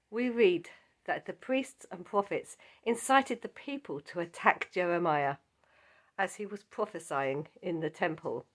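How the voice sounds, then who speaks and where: Slow-speaking croaky-voiced woman teaching Bible study. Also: on the low side, fairly bright tone. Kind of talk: scripted Bible lesson.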